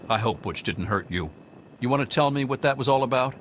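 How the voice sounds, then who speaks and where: Game role: man playing protective, folksy lawman, authoritative with animated pitch, faint noise behind. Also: fairly quick, close to an everyday voice. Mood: concerned, indignant. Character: protective, stern but kindly security officer. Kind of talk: video game acting.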